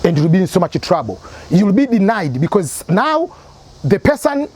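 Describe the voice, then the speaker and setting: A man's voice: high-pitched, sing-song, normal speed, a little mellow, breathy edge. A man from Tanzania, hosting an advice channel and explaining his points through repeated examples. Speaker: immigration advice channel host.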